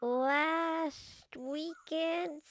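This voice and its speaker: A woman's voice: high voice, fairly even intonation, very slow. A woman playing an earnest young scrapyard girl in an old-time radio mystery, chatty and naive with folksy kid phrasing. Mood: relieved.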